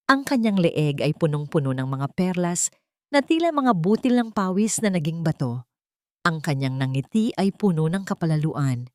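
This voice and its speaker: A woman's voice: lowish voice, darkish tone, no echo, clean studio sound. A woman narrating a scripted romance drama in a dramatic, literary storytelling manner.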